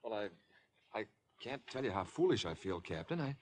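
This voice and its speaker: An actor playing a flustered, apologetic, bumbling professor in a 1950s radio drama, halting and fussy. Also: male voice, fairly low pitch, very animated, darkish tone. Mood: sheepish, flustered.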